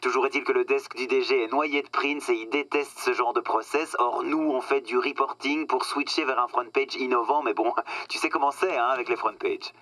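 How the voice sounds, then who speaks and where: A man's voice: medium pitch, fairly even intonation, normal speed, fairly bright tone, dry recording, natural voice, poor audio. An actor playing a smug, patronizing corporate marketing man who talks in glib business jargon in a radio satire. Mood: breezy, self-important. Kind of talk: satirical radio comedy dialogue, phone-call scene.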